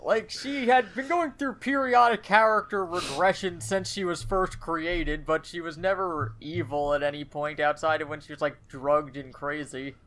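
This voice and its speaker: A man's voice: fairly high pitch, steady tone, normal speed, hardly any pauses, fairly bright tone. A man chatting informally as a panelist on a podcast. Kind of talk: casual chat.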